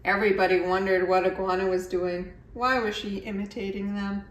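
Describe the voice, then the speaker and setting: A woman's voice: mid-range voice, flat delivery, fairly quick, far from the mic. A middle-aged woman reading a children's story aloud expressively, as a storyteller. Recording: echoey room, faint background noise.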